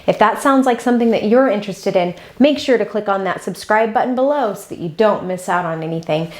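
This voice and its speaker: A woman hosting a children's read-aloud, telling the story in an expressive, deliberate way for young listeners. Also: mid-range voice.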